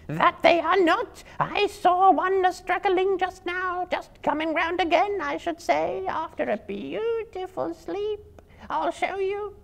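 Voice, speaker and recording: A man's voice: takes it slowly, gravelly, a bit bright, exaggerated voice. A man voicing hungry, malicious giant spiders from a storybook, hissing and drawing out their words with menace. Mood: eager, sinister. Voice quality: hissing, shrill.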